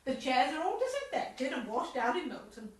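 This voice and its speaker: A very high-pitched English village chairwoman, played by a woman in an amateur radio comedy. She is officious, prim and fussily gracious. The sound is distant and swims in room echo. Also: big pitch swings, moderate pace. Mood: gushing, fussy. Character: bossy, fussy village committee chairwoman hosting a meeting. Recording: echoey room, distant microphone.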